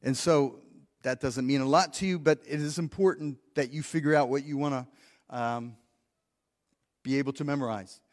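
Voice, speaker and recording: A man's voice: medium pitch, fairly even intonation, normal speed. A man preaching a practical sermon to his congregation, earnest, often repeating words as he thinks and asking the room questions. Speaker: pastor. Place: church sanctuary. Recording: long church hall reverb.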